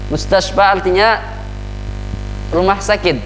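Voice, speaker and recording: A man with an Indonesian accent leads a classroom grammar lesson in a voice pitched a little high. He drills words one by one and throws questions at his students. The sound rings in a long hall reverb over a faint mains hum. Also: slow pace. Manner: drills vocabulary, asks the class questions, many "ya" tags. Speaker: Arabic grammar teacher.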